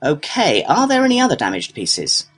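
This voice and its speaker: A man's voice: on the high side, wildly swooping pitch, normal speed, fairly bright tone, husky, full character voice. A man playing a courteous complaints clerk, reading scripted customer-service dialogue in a helpful, procedural way. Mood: courteous, businesslike. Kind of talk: scripted listening-test dialogue.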